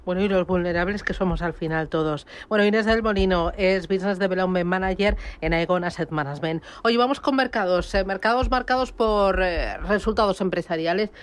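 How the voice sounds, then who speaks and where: A woman's voice: on the low side, a little fast, few pauses. A woman with a Castilian Spanish accent hosting a live radio panel discussion. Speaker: radio host.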